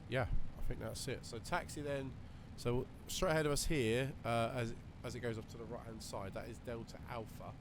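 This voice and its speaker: A man with a slightly croaky voice, casually talking through a flight simulator session while thinking aloud with lots of fillers. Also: medium pitch, steady tone, normal speed, hardly any pauses. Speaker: flight sim video creator. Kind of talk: relaxed running commentary while flying a simulator. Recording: voice over loud simulator cockpit noise.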